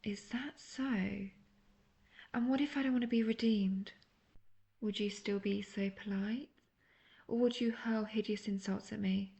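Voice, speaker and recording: Woman acting a creaky-voiced, obsessive lovestruck witch. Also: mid-range voice, steady tone, warm tone. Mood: teasing, probing. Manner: breathy, slow, coaxing, often half-whispered. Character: obsessive young female witch, lovestruck and manipulative. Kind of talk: intimate roleplay audio drama.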